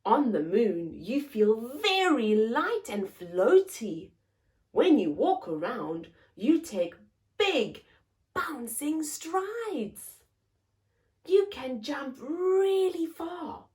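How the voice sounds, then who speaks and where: A woman's voice: fairly high pitch, very animated, drawn-out words, darkish tone. A woman hosting a story time, reading aloud expressively to young children in simple phrases and putting questions to them.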